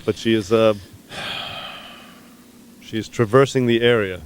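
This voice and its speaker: A man's voice: on the low side, unhurried, clean tone. A man chiming in as a staff sidekick on a radio show with casual banter.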